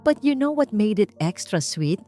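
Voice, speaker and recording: A woman's voice: mid-range voice, very animated, normal speed, dark tone, soft background music, pitched above the performer's own voice, close to an everyday voice. A woman voicing a smug, spoiled rich bride who talks in a haughty, sneering, boastful way in a narrated story. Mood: smug, gloating.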